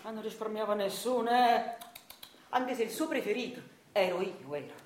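A woman's voice: medium pitch, animated, normal speed, a little mellow, reverberant, lightly acted. A woman playing a meek, battered Neapolitan accountant husband, confiding plaintively and hesitantly in a radio monologue. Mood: meek, rueful. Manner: plaintive, hesitant, repeating himself, confiding to listeners.

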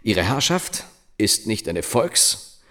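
A grave monarchist commentator in a radio play. The voice is deep and measured. He declaims formal prose with solemn indignation. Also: sing-song, a bit bright, full character voice. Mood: solemn, accusing. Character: grave, indignant male monarchist reading out a newspaper article. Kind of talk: formal read-aloud in a radio drama.

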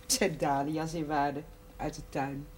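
An actress playing a caring, matter-of-fact housekeeper in a television drama, speaking in short phrases. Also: female voice, low voice, flat delivery, unhurried, a little mellow. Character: plain-spoken, caring housekeeper woman at the presbytery. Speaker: actor in a television period drama. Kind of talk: naturalistic television drama acting.

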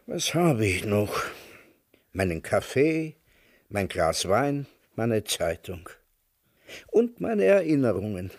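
An actor playing a melancholy, sardonic old intellectual in a radio drama, speaking in a deliberate, ironic and literate way. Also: male voice, lowish voice, very animated, no echo, clean studio sound, exaggerated voice. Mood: melancholy, wry. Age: older.

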